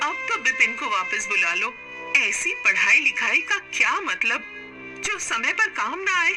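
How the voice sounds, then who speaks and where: A woman's voice: fairly high pitch, lively intonation, unhurried, music in the background, pitched above the performer's own voice. A woman voicing a nagging, worried farmer's wife and mother who scolds and exclaims in a story.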